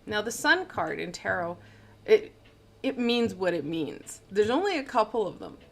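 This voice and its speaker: A woman's voice: medium pitch, very animated, moderate pace. A woman giving a casual livestream tarot reading, rambling and stumbling over words and poking fun at her own slips, into a plug-in microphone. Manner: rambling, stumbling, self-deprecating. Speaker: tarot reader. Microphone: plug-in studio microphone.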